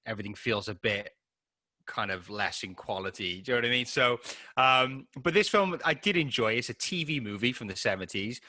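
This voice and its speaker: A man's voice: mid-range voice, animated, brisk, bright, forceful tone, no echo. A man in his late thirties chatting casually and a little hesitantly as he co-hosts a film review. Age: late 30s. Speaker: podcast co-host and filmmaker.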